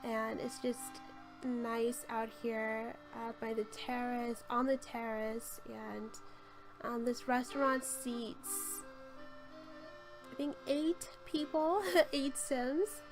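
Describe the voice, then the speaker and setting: A woman's voice, rough and hoarse from a cold, narrates a relaxed game-build tour. She sits a little high and stays flat in pitch, gushing through her ums. Music plays clearly beneath her. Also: takes it slowly, rough recording. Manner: gushing, chatty, with frequent ums. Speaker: game channel host. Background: light background music.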